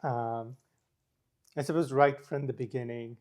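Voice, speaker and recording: Relaxed young man from Sri Lanka. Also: mid-range voice, measured pace. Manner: relaxed, many fillers like "like" and "um". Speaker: guest. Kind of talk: casual interview chat.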